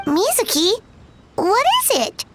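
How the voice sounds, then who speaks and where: A woman voicing a small, excitable childlike fairy companion in a video game, squeaky, expressive and eager. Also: slow pace, background noise, exaggerated voice. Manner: exclamatory, expressive.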